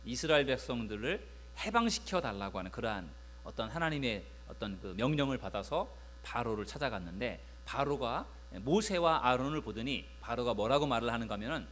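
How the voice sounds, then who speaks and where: Lively-voiced male pastor preaching. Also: mid-range voice, fairly quick, few pauses, slight background noise. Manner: conversational, with frequent filler sounds; greets the congregation and retells a Bible story. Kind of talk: Sunday sermon.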